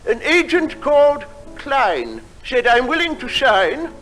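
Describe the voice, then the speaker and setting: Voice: high voice, big pitch swings, takes it slowly, a bit bright. A performer delivering a comic song in a theatrical storytelling manner on a live stage. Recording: 1950s live theatre recording with music.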